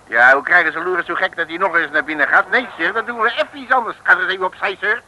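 High, brash radio detective. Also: male voice, fast, bright, forceful tone. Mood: blustering. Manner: colloquial, slangy, blustering. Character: brash, cocky, bumbling male Dutch detective. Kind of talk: 1960s Dutch comic radio serial acting. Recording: noisy, vintage radio recording.

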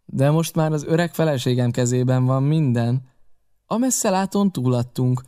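A man voicing a scheming older male pirate cook who talks in a wheedling, boastful, flattering way, in an audiobook reading. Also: mid-range voice, clear, resonant tone, natural voice. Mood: sly boasting.

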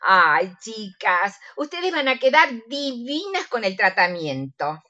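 Prim Argentine older widow, acted by a woman, lively pitch. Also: slow, highs cut off, exaggerated voice. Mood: fussing, coaxing. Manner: coaxing, chatty, fond of diminutives. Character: prim, fussing older widow, meek and self-sacrificing. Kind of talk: radio theatre comedy acting.

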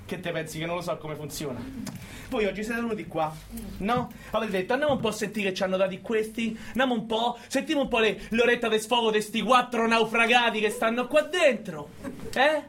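A man's voice: sits high, a little fast, fairly bright tone, a little hiss or hum. A man playing a cynical, bullying prison guard in a radio monologue, with a Roman accent and a sardonic, goading manner full of rhetorical questions. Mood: mocking. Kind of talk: theatrical radio monologue in colloquial dialect.

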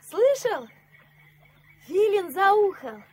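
A woman's voice: very high voice, very animated, extremely slow, heavily acted. An actress in a Soviet radio drama playing a dreamy, playful young girl, a granddaughter full of wondering, coaxing questions. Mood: dreamy, delighted. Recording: noisy 1970s radio play recording.

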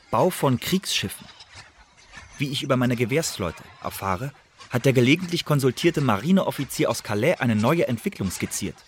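An actor in a radio play playing a secret agent who delivers an intelligence report in a formal, measured manner. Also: male voice, medium pitch, a little hiss or hum, lightly acted.

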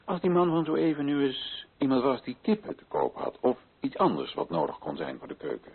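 An actor playing an insistent, authoritative colonial official in a radio play, questioning in a formal, commanding way. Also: male voice, mid-range voice, huge pitch swings, a little fast, gravelly, husky, exaggerated voice. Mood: probing, courteous. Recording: thin, phone-like old radio recording.

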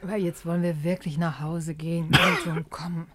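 An actress plays a gentle, sensible woman in a 1950s German radio play. Her voice is low and soothing, heard through loud noise on a vintage recording. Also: level tone, normal speed, lightly acted. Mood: anxious, soothing.

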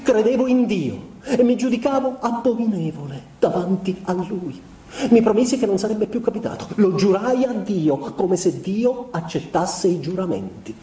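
A male actor playing an introspective, guilt-ridden young man, delivering a literary stage monologue in measured, expressive recitation. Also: high-pitched. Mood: self-reproaching. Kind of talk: literary theatrical monologue in a confessional tone. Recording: roomy echo, clear background noise.

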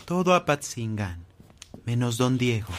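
A man's voice: medium pitch, very animated, measured pace. A man playing a respectful townsman in a radio drama. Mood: respectful, doubtful. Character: respectful townsman reporting to a priest.

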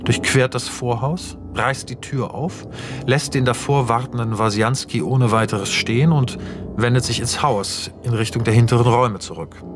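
A man narrating a radio drama, reading scene directions in a measured, detached, matter-of-fact way. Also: mid-range voice, steady tone, over music, lightly acted. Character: sober, detached male narrator of stage directions.

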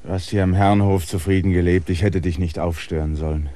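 A male actor plays a proud, stubborn outsider in a radio drama. His voice sits fairly low, and his delivery is intense and theatrical, with a bitter, restless edge. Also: fairly even intonation, quick pace, dark tone, a little hiss or hum, lightly acted. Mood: earnest. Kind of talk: German radio drama acting.

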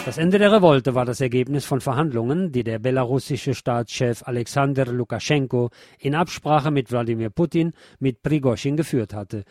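A man presenting a news programme, reading commentary aloud in a measured, formal way. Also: mid-range voice, a little mellow, no room sound, studio quality.

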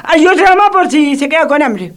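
Radio comedy role: a high-voiced, halting Argentine kid, hungry and sly, always making excuses. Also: male voice, very animated, heavy background noise. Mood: cheeky, grateful. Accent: Argentine Rioplatense. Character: hungry, sly, hesitant young neighbour boy. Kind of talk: comedic radio theatre acting.

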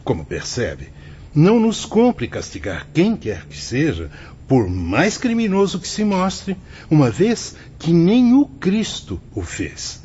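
A benevolent spiritual priest in a Brazilian radio drama. He teaches in solemn, formal, measured phrases with very lively intonation. The recording is dull, with faint noise behind it. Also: a little high, exaggerated voice. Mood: gentle, instructive. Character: benevolent priest guide in a spirit-world reformatory.

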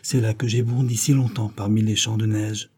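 A man's voice: fairly low pitch, level tone, moderate pace, dark tone, breathy edge. A man voicing a young reindeer who talks about his snowy homeland in a wistful, eager way.

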